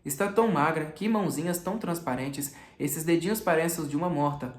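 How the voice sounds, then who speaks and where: A man's voice: a little high, brisk, natural voice. A man voicing a brooding, troubled man in an audiobook, somber, abrupt and questioning. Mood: grave. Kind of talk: audiobook character reading. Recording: echoey room.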